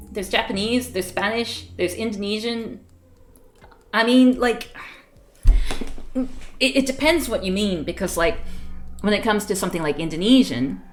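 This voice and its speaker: A woman streaming a game, chatting casually and rambling with frequent laughs; her first language was Fiji Hindi. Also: mid-range voice. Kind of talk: casual livestream chat while playing a game.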